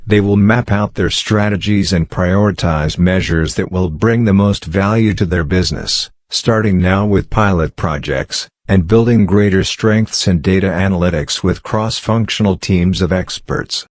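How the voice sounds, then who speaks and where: A man reading practice passages aloud in a measured, scripted way. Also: low voice, no echo, clean studio sound. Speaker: exam practice reader. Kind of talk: read-aloud practice narration.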